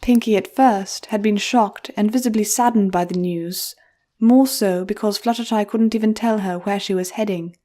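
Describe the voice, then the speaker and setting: A woman's voice: medium pitch, steady tone, moderate pace, warm tone. A woman reading scripted storybook narration as the storyteller, with music playing behind her. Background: background music.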